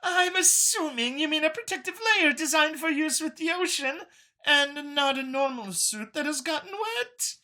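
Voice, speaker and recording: A narrator voicing a brisk, matter-of-fact female alien researcher who gives precise, formal instructions in an audiobook story. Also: high-pitched, animated, sharp tone, voice pushed up.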